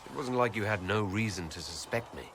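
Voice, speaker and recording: Low-voiced wry male hero. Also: animated, a little fast. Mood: calm, frank. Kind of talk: dramatic video game dialogue. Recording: loud background noise.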